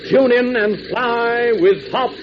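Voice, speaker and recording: A male radio announcer narrating a 1940s adventure serial in a dramatic, excited style, with a husky voice. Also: extremely high pitch, slow pace, noisy background. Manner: dramatic, building excitement in countdowns. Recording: dull lo-fi 1940s radio transcription.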